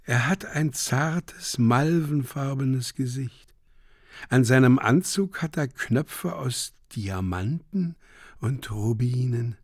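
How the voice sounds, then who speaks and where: An actor playing a solemn narrator who reads dreamlike stage directions in a slow, deliberate, measured way for a literary radio drama. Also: male voice, mid-range voice, expressive, no echo, clean studio sound. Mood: solemn, dreamy.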